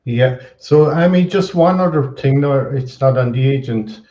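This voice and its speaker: A man's voice: mid-range voice, normal speed, mellow. Roomy lo-fi male show host. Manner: easygoing, repeats himself. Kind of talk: casual online show chat. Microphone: computer mic on video call. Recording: roomy, dull lo-fi call audio.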